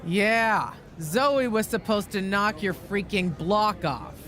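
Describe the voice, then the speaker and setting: A woman's voice: mid-range voice, slow, a bit bright. A woman playing a blunt, sardonic, exasperated wrestling booker in a video game scene. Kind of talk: naturalistic video game dialogue. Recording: faint background ambient noise.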